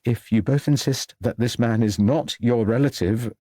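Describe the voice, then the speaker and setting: A man's voice: mid-range voice, moderate pace, mellow, no echo, close to an everyday voice. Formal storybook vicar, played by a male narrator, hesitant, mildly protesting, closely recorded.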